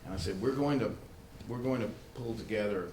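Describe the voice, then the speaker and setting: Low-voiced male host in an echoey room. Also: darkish tone. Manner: halting, repeats words. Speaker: event host. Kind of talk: informal spoken remarks to a conference room. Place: good-sized meeting room. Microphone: livestream microphone, not amplified in room. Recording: roomy echo, faint background noise.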